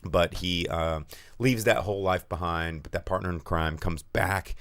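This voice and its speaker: Hoarse low-voiced man chatting about movies. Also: moderate pace, no echo. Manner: rambling, filler-heavy, animated asides. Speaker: podcast co-host. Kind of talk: casual movie news chat.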